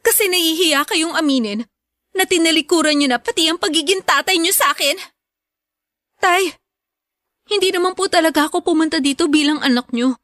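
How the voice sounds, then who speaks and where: A wounded grown daughter in a Filipino radio drama. Her voice is very high and recorded dry, with an emotional, accusing delivery that pleads and turns bitter. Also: a little fast, long pauses, a bit bright, dry recording. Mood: hurt, accusing.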